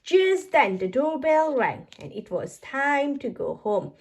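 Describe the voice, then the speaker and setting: A woman reading a children's storybook aloud in an expressive way, as a narrator for young children. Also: high-pitched, slow pace.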